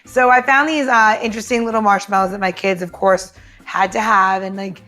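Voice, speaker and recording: A woman's voice: medium pitch, fairly even intonation, brisk, bright, forceful tone, soft background music. A woman from New York chatting casually and jokingly as a podcast co-host, explaining recipes in an informal way.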